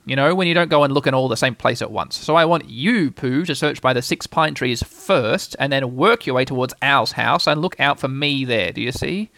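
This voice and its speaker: A man's voice: medium pitch, normal speed, vocal fry, hardly any pauses, bright, forceful tone, no room sound. A man voicing an officious, self-important rabbit who explains and gives orders in a storybook reading. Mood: bossy. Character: bossy, self-important, brisk organising male rabbit.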